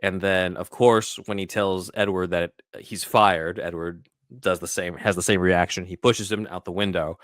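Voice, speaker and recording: Low voiced guy hosting a film podcast, chatty and explainy, really dry sound. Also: fairly even intonation, normal speed, hardly any pauses, fairly bright tone, no echo. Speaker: podcast host. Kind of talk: casual scene-by-scene film discussion.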